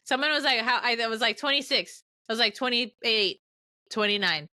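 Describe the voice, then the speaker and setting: A woman's voice: fairly high pitch, normal speed, pushed and bright, no echo, studio quality. A woman in her late twenties co-hosting a casual podcast, playful and blunt with her jokes. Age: late 20s. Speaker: podcast co-host. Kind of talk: casual chat.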